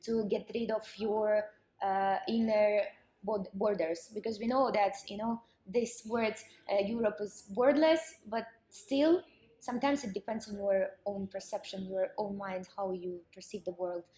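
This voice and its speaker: A woman from Ukraine giving reflective interview answers that trail off softly. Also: medium pitch, fairly even intonation, unhurried, reverberant. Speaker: event participant. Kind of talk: casual on-camera interview.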